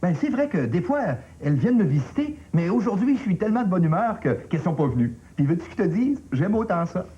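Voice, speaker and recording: A woman's voice: fairly low pitch, sing-song, quick pace, few pauses, warm tone, lo-fi sound. A woman voicing a cheerful, friendly talking mountain with a Quebec French accent, easygoing and reassuring.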